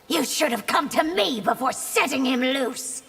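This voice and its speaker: High-voiced, stern young empress. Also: female voice, animated, measured pace, gravelly, heavy background noise, exaggerated voice. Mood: stern, accusing. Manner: commanding, confrontational. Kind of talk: video game character dialogue. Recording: loud music under the voice.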